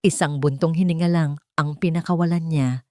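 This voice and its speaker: A woman with a Filipino accent reading a scripted romantic drama story with dramatic, deliberate phrasing. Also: low-pitched, steady tone, a little mellow, no echo, clean studio sound.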